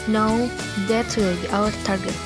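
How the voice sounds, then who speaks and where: A woman's voice: medium pitch, steady tone, normal speed, over music, lightly acted. A young woman with an Indonesian accent playing a plotting member of a school bully gang in an amateur radio drama. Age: young adult.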